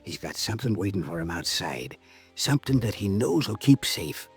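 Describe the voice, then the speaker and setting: Husky, wheedling American convict. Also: male voice, lowish voice, big pitch swings, moderate pace, full character voice. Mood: confiding. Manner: rambling, slangy, repeats himself urgently. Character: ailing convict informant, wheedling, excitable, streetwise. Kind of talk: 1950s radio drama acting. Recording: vintage radio recording with music.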